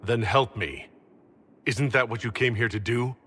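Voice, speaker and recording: A man's voice: mid-range voice, unhurried, a bit bright, breathy edge, long reverb tail. A man playing a determined fantasy leader in a video game, speaking earnestly and resolutely. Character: determined male fantasy hero, leader of an army.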